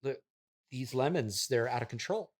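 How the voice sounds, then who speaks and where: A man plays a wealthy, self-important app developer with a mid-pitched voice. He speaks earnestly and deadpan as an interview guest, pausing hesitantly and talking down to the listener as though his absurd ideas were profound. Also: lightly acted. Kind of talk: deadpan improvised mock interview.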